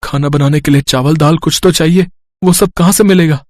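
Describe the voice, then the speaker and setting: A man's voice: a little high, fairly even intonation, fairly quick, no echo, studio quality, lightly acted. A man playing an anxious, trusting younger brother and husband in a storybook tale, earnest and pleading. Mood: anxious, tender.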